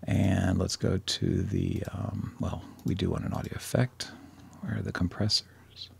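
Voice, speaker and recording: Low-voiced, gravelly man giving a tutorial. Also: flat delivery, measured pace, a little mellow, a little hiss or hum. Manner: informal, thinking aloud, self-deprecating. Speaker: audio software tutorial presenter. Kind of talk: casual screen-recorded tutorial.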